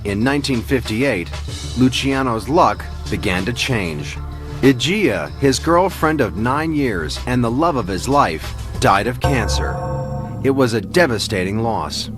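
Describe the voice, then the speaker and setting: A man narrating a documentary script in a measured, dramatic way. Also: mid-range voice, lively intonation, fairly bright tone, soft background music.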